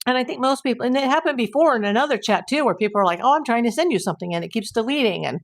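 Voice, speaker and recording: A woman hosting a casual livestream, hoarse and losing her voice, rambling in exasperation while she reads viewers' comments. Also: on the high side, expressive, very fast. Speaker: YouTube livestream host.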